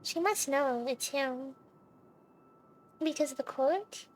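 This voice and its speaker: A woman's voice: high-pitched, takes it slowly, slight background noise, rough recording. A woman chatting casually on a livestream while reacting to a game's story. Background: game music and dialogue.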